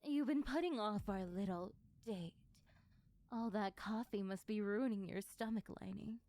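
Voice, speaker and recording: A woman playing a possessive, taunting female sleep paralysis demon in an ASMR roleplay, breathy and often whispering close to the mic, with a teasing, menacing intimacy. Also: medium pitch, a little slow, warm tone, close to an everyday voice. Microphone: close ASMR microphone.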